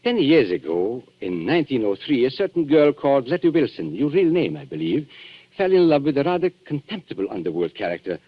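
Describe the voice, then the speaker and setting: Suave, smug blackmailer, lively old radio. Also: male voice, mid-range voice, fairly quick. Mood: gloating. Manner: mock-courteous, theatrical, smug. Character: suave, cynical male antique dealer and gloating blackmailer. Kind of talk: 1940s radio thriller acting. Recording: muffled, noisy vintage 1940s radio recording.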